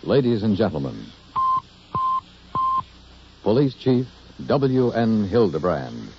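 A man's voice: lowish voice, very animated, slow, very noisy. An American man announcing a vintage radio program in a formal, dramatic style. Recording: muffled vintage radio recording.

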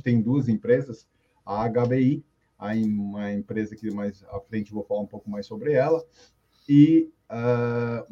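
A man's voice: medium pitch, dark tone, breathy edge, muffled recording. A man in his fifties, a coach being interviewed, telling his story in an easygoing way with fillers. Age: 50s. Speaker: guest coach. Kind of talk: casual interview on a live stream.

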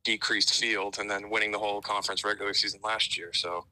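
A man's voice: on the low side, little pitch movement, fast talker, bright, forceful tone, poor audio. A young man calling in to a sports radio show, speaking eagerly and emphatically. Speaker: caller, college sports fan.

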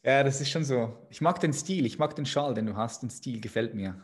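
A man's voice: medium pitch, fairly quick, breathy edge. Upbeat male podcast host. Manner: upbeat, emphatic, sales-like delivery with lists. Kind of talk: enthusiastic podcast introduction and interview.

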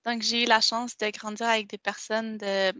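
A woman's voice: on the high side, flat delivery, normal speed, pushed and bright, no echo. A nineteen-year-old woman from Montreal with a Québécois French accent, telling her story in a hesitant, casual way with many restarts and fillers. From Montreal, Quebec. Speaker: interviewee.

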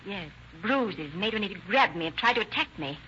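A woman's voice: mid-range voice, animated, moderate pace, sharp tone. An actress playing a distraught but steely woman who confesses to a killing, in old-time radio drama style. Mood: grimly composed. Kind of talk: 1930s-40s radio drama acting. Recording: muffled old radio recording, faint noise.

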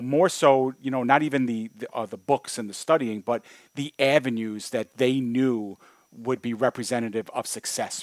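A man's voice: mid-range voice, no room sound. New man hosting an interview. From United States (Brooklyn). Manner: friendly, uses "you know" often. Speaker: podcast co-host. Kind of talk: casual interview.

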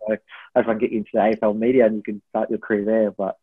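An Australian man in his twenties speaks in a husky, fairly low voice. His delivery is casual and a bit rambling, like a guest in a relaxed interview. He comes through a narrow, phone-like connection. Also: rapid-fire. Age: 20s. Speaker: podcast guest, university student. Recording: thin phone-like line with room echo.

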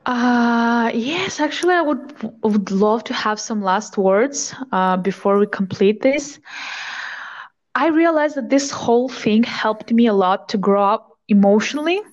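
A woman's voice: a little high, lively intonation, a little slow. Guest, woman, 21, earnest and reflective, audio dull and muffled over a remote line. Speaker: podcast guest. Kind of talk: casual interview chat.

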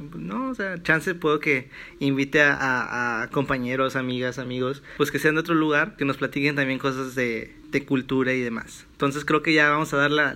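A young man with a Mexican Spanish accent, hosting a casual podcast chat with jokes and banter. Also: medium pitch. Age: young adult. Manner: relaxed, joking, friendly banter. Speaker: podcast host.

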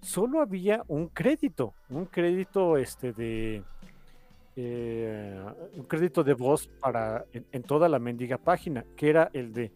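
A man's voice: medium pitch, expressive, measured pace, a little mellow, soft background music. A man with a Mexican accent hosting a podcast, chatting casually in an enthusiastic, rambling way. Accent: Mexican Spanish. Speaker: podcast host.

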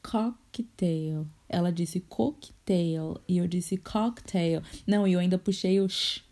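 A Brazilian woman with a Brazilian Portuguese accent, co-hosting a casual podcast chat and telling stories with animated imitations of accents. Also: fairly low pitch, takes it slowly. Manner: animated storytelling, mimics accents. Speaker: podcast co-host. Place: home in Cork.

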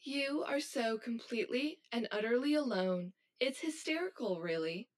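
A woman playing a cold, blunt high-achieving schoolgirl who talks in a stiff, formal, robotic way in an audio drama. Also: animated, moderate pace, lightly acted. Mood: cruel, mocking. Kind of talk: scripted audio drama acting.

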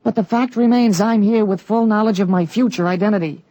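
A man playing a teenage boy with an adult's memories, explaining things calmly and matter-of-factly in a 1940s radio drama. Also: high-pitched, fairly quick, dry recording, dull audio, lightly acted. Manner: calm, matter-of-fact explaining. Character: teenage boy with an adult mind, calm and knowing.

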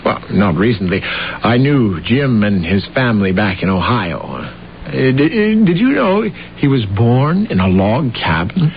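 A man's voice: medium pitch, big pitch swings, unhurried, creaky. An actor playing an aggrieved, self-important, deluded man in an old-time radio drama. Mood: self-important, chatty. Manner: self-important, bitter, insistent. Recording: muffled, noisy old radio drama recording.

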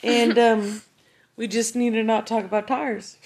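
A woman's voice: on the high side, flat delivery, unhurried, a little mellow, a little hiss or hum. A woman from Virginia with a Southern accent, co-hosting a casual family podcast and teasing bluntly. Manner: teasing, blunt, swears, calls people bud. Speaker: podcast co-host, mother.

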